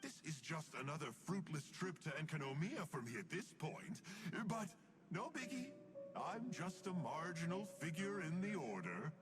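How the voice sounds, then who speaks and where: A sly young traitor brushes off his betrayals in a light, teasing tone, his voice slightly high and swooping with lively jumps in pitch. Loud game music runs behind him throughout. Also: moderate pace. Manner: flippant, teasing. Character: sly young male Abyss Order traitor. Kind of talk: game voice acting.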